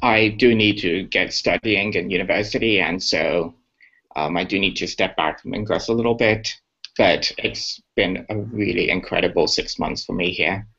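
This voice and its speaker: A man's voice: sits low, moderate pace, gravelly, bright, forceful tone, breathy edge. A young man from Singapore, a guest chatting in an upbeat, talkative way. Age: young adult. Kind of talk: casual interview chat. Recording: muffled lo-fi call audio.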